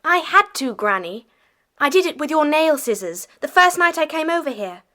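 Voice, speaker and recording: A woman's voice: high voice, very animated, moderate pace, pushed and bright, heavily acted. A woman playing an earnest, affectionate runaway schoolgirl in an audiobook dramatization. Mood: happy, eager.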